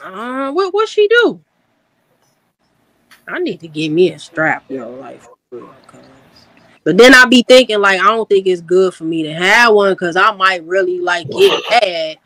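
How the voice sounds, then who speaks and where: A woman's voice: mid-range voice, big pitch swings, measured pace, a bit bright, no echo, low-quality recording. A woman hosting a casual livestream chat, laughing easily and talking in loose slang. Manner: laid-back, laughing, slangy storytelling. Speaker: host.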